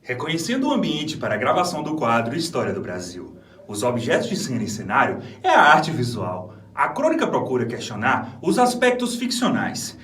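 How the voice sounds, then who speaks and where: A man's voice: mid-range voice, sing-song, moderate pace, fairly bright tone. A man with a Brazilian accent, an actor delivering a scripted theatrical monologue presentation. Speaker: stage actor. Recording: echoey room, distant microphone.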